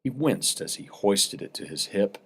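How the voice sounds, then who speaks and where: A man's voice: on the low side, expressive, normal speed, noisy background. A man narrating a science fiction short story as a scripted, dramatic reading.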